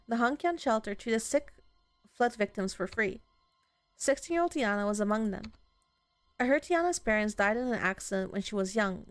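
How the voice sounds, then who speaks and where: A woman with a fairly high voice plays a visual novel. She reads the dialogue aloud and adds casual reactions of her own, with some music playing behind her. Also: no room sound.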